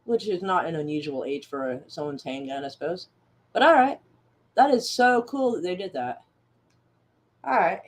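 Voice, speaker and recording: A woman hosting a relaxed group chat, thinking out loud as she goes. She catches and corrects herself mid-sentence, and her tone stays casual throughout. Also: lowish voice, normal speed, long pauses. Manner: scattered, thinking aloud. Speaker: host.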